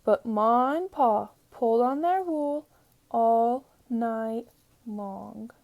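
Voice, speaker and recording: A woman narrating a children's story from a script in a measured, deliberate way. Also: a little high, darkish tone. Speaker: storybook reader.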